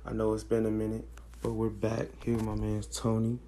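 A hoarse young man with a raspy voice, co-hosting a podcast in slangy casual chat. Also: fairly low pitch, a little fast, slight background noise. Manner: relaxed, slangy, thinks aloud with fillers. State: hoarse, losing his voice. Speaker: podcast co-host.

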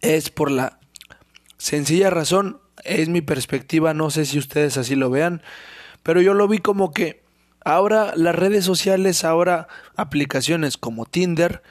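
A young man with a Mexican accent hosting a casual solo podcast, hoarse from a sore throat, rambling and swearing freely and clearing his throat often. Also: a little high, unhurried, no room sound, clean studio sound. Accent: Mexican Spanish. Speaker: podcast host.

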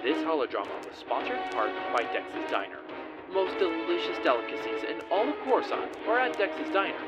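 A chipper male announcer acted by a man pitches a sponsor in mock radio style. His salesy voice is fairly high and wildly varied. Loud music plays behind him. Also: very animated, fairly quick.

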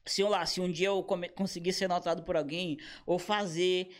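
A man in his thirties, a comedian and motion designer, chatting casually in a slangy, animated way with quoted asides. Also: sits high, moderate pace. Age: 30s. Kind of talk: casual podcast conversation.

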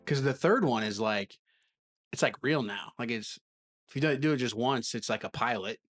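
A relaxed pastor talks easily with friends on a podcast. His voice sits in a mid range. His delivery is unhurried and conversational. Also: medium pitch. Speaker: lead pastor. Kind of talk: casual chat.

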